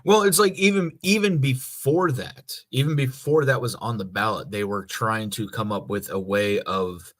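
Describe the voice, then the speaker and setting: An American man chats as a podcast co-host in a somewhat low voice. He explains things loosely and haltingly, in a casual conversational style. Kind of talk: casual chat.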